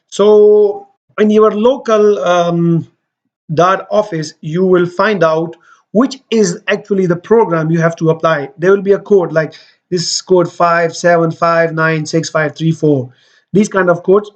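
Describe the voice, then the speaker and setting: A man's voice: sits high, steady tone, unhurried, lo-fi sound. A man hosting a screen-share walkthrough, explaining in a loose, conversational way with frequent fillers and restarts. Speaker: host giving application guidance.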